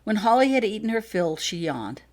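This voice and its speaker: A woman reading her own story aloud as an expressive scripted narration. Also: medium pitch, normal speed. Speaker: author reading own fan fiction.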